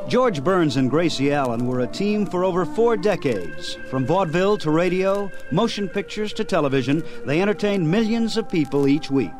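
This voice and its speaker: High-pitched, animated male radio host. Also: moderate pace, few pauses, music in the background. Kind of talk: scripted introduction to vintage radio.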